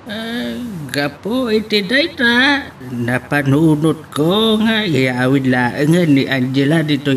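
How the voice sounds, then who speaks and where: A man's voice: fairly high pitch, a bit bright, distant mic, a little hiss or hum. An actor playing a humble old village grandfather in an Ilocano radio drama, speaking hesitantly with an Ilocano accent. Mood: hesitant, explaining. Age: older. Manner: slow, hesitant, halting.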